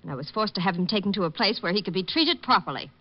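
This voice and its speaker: An actress playing a haughty, hard-edged young heiress, clipped and formal, in an old radio drama. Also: female voice, mid-range voice, expressive, racing, natural voice. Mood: icy, defensive. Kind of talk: 1950s radio drama acting. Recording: muffled old radio recording.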